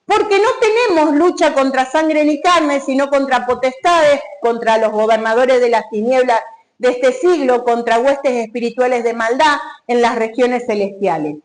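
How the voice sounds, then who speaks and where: A woman's voice: high voice, normal speed. A woman preaching a sermon, emphatic, and putting questions straight to the congregation.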